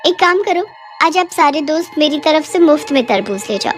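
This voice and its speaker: A woman's voice: high-pitched, animated, normal speed, soft background music, pitched above the performer's own voice. A woman voicing a sweet-talking, solicitous village fruit seller in a cartoon story, with a coaxing manner.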